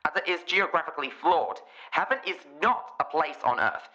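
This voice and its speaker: A man's voice: a little high, lively intonation, moderate pace, sharp tone. An English man playing a pompous, pedantic geography radio DJ in a comedy radio play, with presenter patter through a radio filter. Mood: smug, explanatory. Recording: dull band-limited radio sound.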